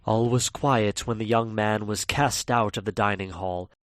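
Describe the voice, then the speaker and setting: A man's voice: fairly low pitch, steady tone, a little slow, heavy background noise, close to an everyday voice. Man acting as ominous horror-tale narrator. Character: ominous storyteller addressing his viewers.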